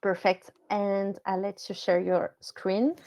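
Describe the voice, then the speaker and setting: A woman from France with a French accent, hosting a live webinar over a video call, talking in a relaxed and friendly way. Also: medium pitch, slow. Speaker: webinar host.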